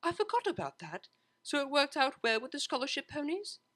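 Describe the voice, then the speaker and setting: A refined young pony mare from an audio storybook, put on by the narrator, pitched high and brightly animated. She gushes with eager enthusiasm. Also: fairly quick. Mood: delighted relief. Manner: gushing, eager.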